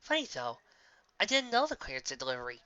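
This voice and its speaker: Testy storybook pegasus mare, male reader, no-nonsense and dry, forceful tone, close dry recording. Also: sits high, wildly swooping pitch, fast talker, clear, resonant tone, no echo, dull audio, voice pushed up, full character voice. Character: gruff, temperamental but sensible female pegasus. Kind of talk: fan fiction storybook reading.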